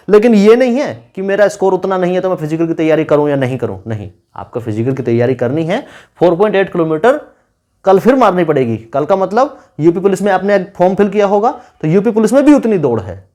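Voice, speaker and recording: A man with a fairly high-pitched voice talks as an online exam guide. He instructs candidates informally and repeats key points to drive them home. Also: big pitch swings, quick pace, dark tone. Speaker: exam-guidance YouTuber advising police recruitment candidates. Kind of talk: informal instructional video talk.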